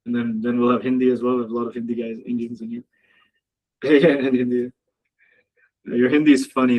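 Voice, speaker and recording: A man's voice: medium pitch, lo-fi sound. A man hosting a live trading session from Dubai, chatting casually, joking with viewers in the chat and laughing often. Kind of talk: casual live-stream commentary. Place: home office in Dubai.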